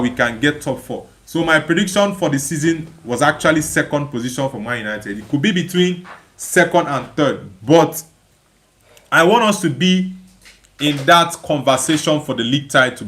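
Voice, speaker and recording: Male livestream host, fairly high-pitched, chatty and emphatic, reads viewer messages and replies off the cuff with repeated phrases. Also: a bit bright. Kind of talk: casual live chat, reading viewer comments aloud and replying.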